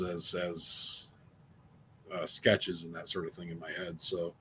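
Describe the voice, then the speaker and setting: A man's voice: low-pitched, a bit bright. A man explaining his thoughts in a casual conversation as an author on a panel. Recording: faint background noise.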